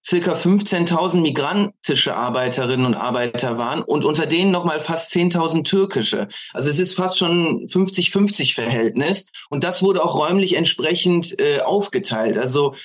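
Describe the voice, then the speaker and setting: A man speaking as a podcast guest in analytical political discussion, choosing academic words deliberately, with hesitant pauses. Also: fairly high pitch, expressive.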